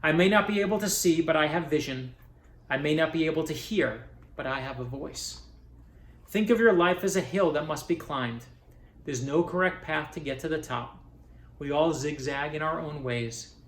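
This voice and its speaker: A man's voice: fairly high pitch, moderate pace, a bit bright, echoey room, lightly acted. A man reading aloud as a determined young girl who is deaf and blind, in an earnest, uplifting way. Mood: inspiring.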